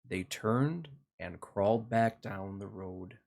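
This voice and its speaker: A man hosting a children's read-along, narrating a picture book expressively with deliberate, sing-song emphasis for young listeners. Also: lowish voice, gravelly, a little mellow.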